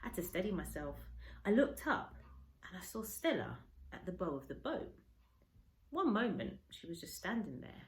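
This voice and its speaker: Female storyteller, slightly low voice, dramatic schoolteacher read-aloud for children, recorded in a noticeably echoey room. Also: very animated, moderate pace.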